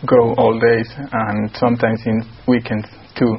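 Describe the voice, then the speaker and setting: A man's voice: mid-range voice, little pitch movement, measured pace, breathy edge. A man in his thirties from Colombia with a Colombian Spanish accent, speaking hesitantly and repeating words as an exam candidate. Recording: dull lo-fi sound.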